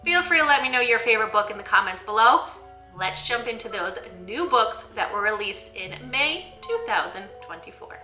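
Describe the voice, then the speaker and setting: A woman hosting a book review vlog, speaking to viewers in an enthusiastic, expressive presenter style. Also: on the high side, moderate pace, roomy sound, over loud music. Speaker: host of a picture book channel for teachers.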